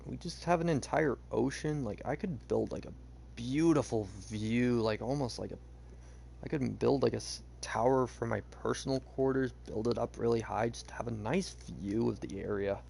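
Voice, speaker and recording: A young man chatting casually while he plays a game, rambling with lots of fillers, his voice a bit hoarse. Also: medium pitch, moderate pace, darkish tone, slight background noise. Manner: rambling, hesitant, many fillers. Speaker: streamer. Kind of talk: casual gameplay chat.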